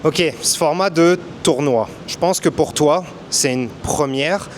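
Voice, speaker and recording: A man's voice: fairly high pitch, measured pace, a little hiss or hum. A man hosting a French-language podcast interview, asking long questions with frequent fillers. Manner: rambling questions with many fillers. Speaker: podcast host. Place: boxing gym.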